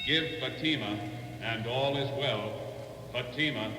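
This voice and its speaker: A man's voice: medium pitch, unhurried. A man announcing in mid-century radio style, with formal programme and advertising lines. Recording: dull, noisy vintage radio recording.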